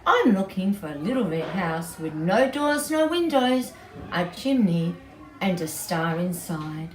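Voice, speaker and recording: A woman's voice: lowish voice, big pitch swings, a little slow. A woman voicing a polite, eager little girl from a children's story, pleading in simple phrases. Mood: tired, pleading. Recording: distant mic, roomy echo, background noise.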